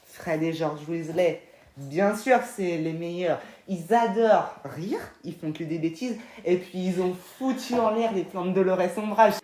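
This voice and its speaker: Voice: mid-range voice, unhurried, a little mellow, reverberant. A speaker co-hosting a casual ranking video, reading out the entries in a matter-of-fact way. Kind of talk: casual scripted chat.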